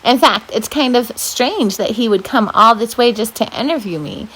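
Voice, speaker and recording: Animated woman reading a story, high-pitched. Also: normal speed, slightly rough, rough recording. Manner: animated, dramatic delivery. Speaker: volunteer children's book reader. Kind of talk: expressive storybook read-aloud.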